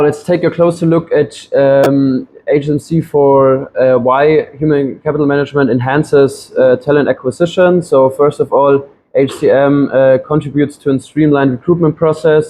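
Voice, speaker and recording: A man's voice: on the high side, mellow, slight background noise, rough recording. Hesitant young man presenting. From Germany. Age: 20s. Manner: hesitant, many ums and restarts. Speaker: student presenter. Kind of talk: classroom presentation.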